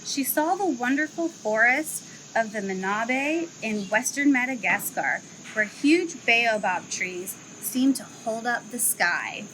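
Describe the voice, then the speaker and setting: Woman, young adult, zoo educator, storybook read-aloud, slow and expressive, outdoor zoo setting. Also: fairly high pitch, a little hiss or hum, poor audio. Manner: slow, expressive storytelling, livelier chat afterward. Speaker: zoo educator reading a picture book. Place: outdoor zoo enclosure with trees.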